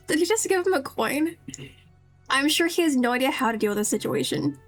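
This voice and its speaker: A woman's voice: high-pitched, expressive, normal speed, a bit bright, soft background music. A woman streamer giving casual running commentary on a game. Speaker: live streamer, VTuber.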